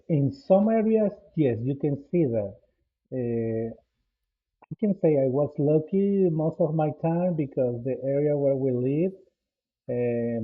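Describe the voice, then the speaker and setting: A man in his forties from El Salvador with a Salvadoran Spanish accent, speaking English as a second language. He talks haltingly as a podcast guest, often restarting and repeating words. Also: medium pitch, husky. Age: 40s. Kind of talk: casual interview answers. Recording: tinny phone-line audio.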